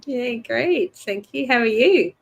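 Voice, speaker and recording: A woman's voice: on the high side, animated, takes it slowly, gravelly. A woman chatting casually as co-host of a live online show. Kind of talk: casual chat.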